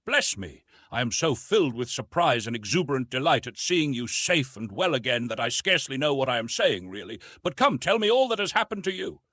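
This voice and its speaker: A man voicing a fussy, panicky elderly gentleman in an audiobook, exclamatory and blustering. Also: mid-range voice, sing-song, brisk, few pauses, dry recording, studio quality. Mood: flustered delight. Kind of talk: audiobook character voice.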